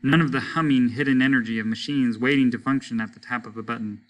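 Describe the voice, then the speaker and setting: A man, a teacher, narrating a short story aloud in a measured, low-key way. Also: fairly even intonation, rough recording. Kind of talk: dramatic story reading.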